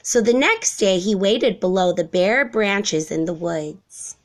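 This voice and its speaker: A woman reading a children's storybook aloud in an expressive narrating style. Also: mid-range voice, slow pace. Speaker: storybook reader.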